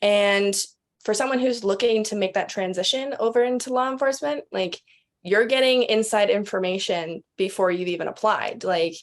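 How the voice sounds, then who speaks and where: Woman, medium pitch, casual interview style, chatty, explanatory, guest representative. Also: moderate pace. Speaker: podcast guest, expo representative.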